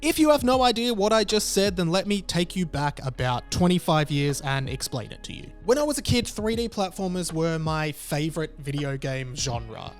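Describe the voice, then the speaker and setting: A man in his thirties narrating a video essay as host, conversational, enthusiastic and emphatic. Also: sits high. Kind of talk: semi-scripted video essay narration to camera.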